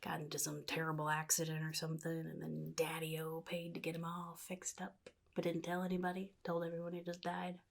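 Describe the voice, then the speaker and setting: A woman playing a sly, chaotic supervillain in a soft-spoken ASMR roleplay, often dropping into a breathy whisper with a casual, menacing drawl. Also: low-pitched, completely flat delivery, brisk, hardly any pauses. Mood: sly, musing. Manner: casual drawl, offhand, slyly threatening.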